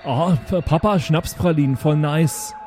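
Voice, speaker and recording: A naive alien youngster, played by a man, rather high in pitch but soft and mellow in tone. The character sounds hesitant and childlike, always questioning, over faint music in an audio comedy. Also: a little slow, lightly acted. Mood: delighted. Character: naive, slow-witted alien child, devoted to papa. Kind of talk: improvised audio drama acting.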